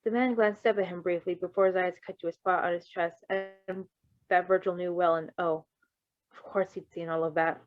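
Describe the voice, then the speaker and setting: A woman's voice: lowish voice, fairly even intonation, normal speed, no echo, faint music behind. Woman reading a story, dry muffled sound. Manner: playful, breaks off to riff. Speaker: reader of fan fiction. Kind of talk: narrated story reading with casual asides. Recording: dry, slightly muffled recording.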